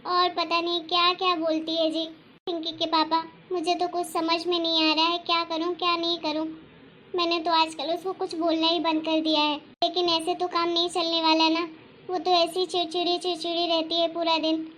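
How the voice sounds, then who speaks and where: A woman acts out a fretful young housewife from a cartoon family story. Her voice is extremely high and bright, with little melodic swing. She sounds plaintive and complaining, over faint background noise. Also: monotone, normal speed. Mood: worried, upset. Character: worried, soft-hearted young housewife, mother and elder sister-in-law. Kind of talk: cartoon family drama voice acting.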